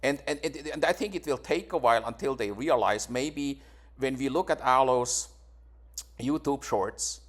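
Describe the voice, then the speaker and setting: A man's voice: medium pitch, normal speed. A man in his forties from Switzerland, answering questions in a casual interview, defensive and prone to repeating words and restarting sentences. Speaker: YouTuber being questioned.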